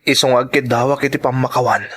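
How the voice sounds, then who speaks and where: A man acting in an emotional drama as an earnest lover who pleads slowly to part. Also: medium pitch, fairly even intonation, fairly bright tone, slightly rough. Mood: pleading, apologetic. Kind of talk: Ilocano radio-style drama acting.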